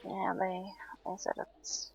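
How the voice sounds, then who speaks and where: A woman playing a tired, stubborn but playful patient in an intimate audio roleplay, murmuring sleepily and haltingly, often close to a whisper. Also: mid-range voice, completely flat delivery, darkish tone, rough recording. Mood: drowsy grumbling. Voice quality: breathy, often dropping to a whisper.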